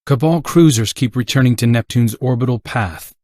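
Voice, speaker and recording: A man's voice: medium pitch, normal speed, darkish tone, dry recording, clean studio sound, lightly acted. A man playing an earnest, slightly awkward young scout in a video game, muttering casually as if to himself. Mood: pensive, wistful. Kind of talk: video game character voice lines.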